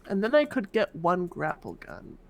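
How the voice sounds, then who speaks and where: A woman's voice: lowish voice, huge pitch swings, normal speed, gravelly, a little mellow, full character voice. A woman playing a scheming prison inmate in an online roleplay game, casually thinking her plans out aloud. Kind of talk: improvised in-character roleplay chat.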